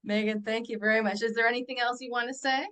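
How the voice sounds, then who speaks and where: A woman's voice: a little high, steady tone, fast, fairly bright tone. Woman moderating a call, gracious, encouraging. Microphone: video call.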